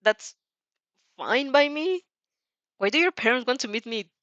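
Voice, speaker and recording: A woman's voice: high voice, animated, unhurried, a bit bright, no echo, voice pushed up. An uncertain, good-natured schoolboy as a woman reader plays him, awkward and full of hesitant questions. It is a fan fiction dub with a dry, slightly muffled sound. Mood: awkward, puzzled.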